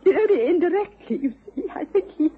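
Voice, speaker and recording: An actress in a radio drama playing a proper, distressed British wife, with a genteel, husky voice. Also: female voice, extremely high pitch, lively intonation, fast. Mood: flustered, anxious. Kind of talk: radio drama acting with first-person narration. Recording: muffled radio drama recording.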